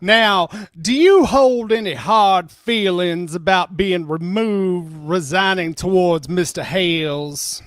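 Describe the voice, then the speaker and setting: A sarcastic attorney, played by a reader, pressing a witness at a slow, deliberate pace in a dry recording. The questions come pointed and needling, as a dramatic reading of a deposition. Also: medium pitch, dry recording, natural voice.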